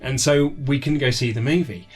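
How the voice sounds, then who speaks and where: A man's voice: medium pitch, a little fast, slightly rough. A British man in his thirties telling anecdotes in a casual interview, acting out quotes as he goes. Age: 30s. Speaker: interview guest, author. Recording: music playing behind the voice. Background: background music.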